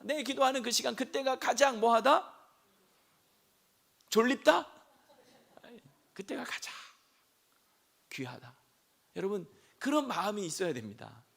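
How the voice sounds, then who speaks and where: Hoarse, gravelly man preaching. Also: sits high. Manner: rhetorical questions, rising to shouts. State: hoarse from a throat cold. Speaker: senior pastor. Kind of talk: sermon.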